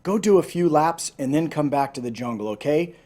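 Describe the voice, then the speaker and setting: A man's voice: on the high side, expressive, fairly quick, darkish tone. A man voicing a tired, rude, lazy green snake in a storybook with a weary growl. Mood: sleepy, gruff.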